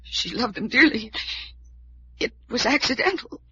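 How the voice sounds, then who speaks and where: An actress playing a despairing young heiress who believes she is cursed, in halting, melodramatic 1940s radio drama style. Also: fairly high pitch, animated, bright, forceful tone, breathy edge, heavily acted. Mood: anguished. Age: young adult. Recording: muffled, dull old radio recording.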